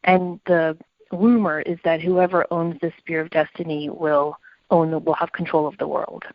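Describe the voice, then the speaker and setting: A woman's voice: low-pitched, little pitch movement, normal speed, no echo, highs cut off. A woman co-hosting a podcast discussion, speaking in an inquisitive, articulate and emphatic conversational manner. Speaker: podcast co-host.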